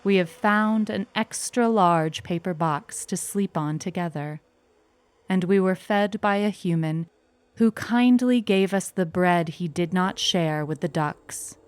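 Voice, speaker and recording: Slow-paced woman reading literary fiction. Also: on the low side, clear, resonant tone, no echo. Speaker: short story narrator. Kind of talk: literary audiobook narration, intimate first person.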